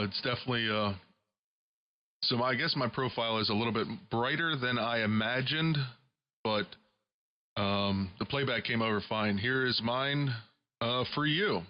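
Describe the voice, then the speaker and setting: A man's voice: medium pitch, measured pace. A man chatting casually as an amateur radio operator, his processed voice coming over a single-sideband radio link. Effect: single-sideband radio transmission with processed audio. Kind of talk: casual ham radio chat. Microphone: studio broadcast microphone. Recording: dull, band-limited shortwave radio audio.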